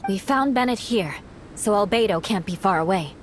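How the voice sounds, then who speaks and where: A woman's voice: medium pitch, animated, moderate pace, background noise, close to an everyday voice. A woman playing a cool-headed, practical young fighter in an anime video game, speaking in a composed, terse, analytical way.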